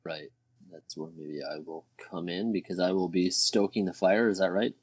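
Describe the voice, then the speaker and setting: A man's voice: sits low, steady tone, normal speed, no room sound. A man speaking casually as a player in a tabletop game, checking details.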